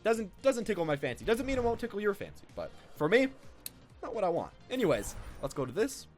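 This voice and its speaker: Casting: young male gaming creator, chatty and rambling, rapid delivery with lively intonation. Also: sits high, faint music behind. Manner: rambling, informal. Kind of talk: casual game commentary.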